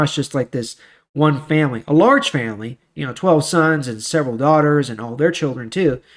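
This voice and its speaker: A man hosting a daily Bible show, reading scripture and giving casual devotional commentary. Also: mid-range voice.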